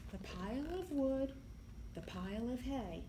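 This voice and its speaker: A woman reading a picture book aloud to young children in an expressive, deliberate storytelling manner, with emphasis on the sound words. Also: fairly high pitch. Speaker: library storyteller. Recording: echoey room, clear background noise.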